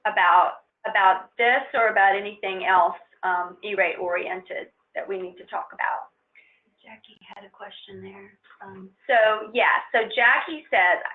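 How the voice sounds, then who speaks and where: A woman presenting on an instructional conference call, explaining things informally and somewhat haltingly. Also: mid-range voice. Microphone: conference call line. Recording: muffled narrow-band conference phone line.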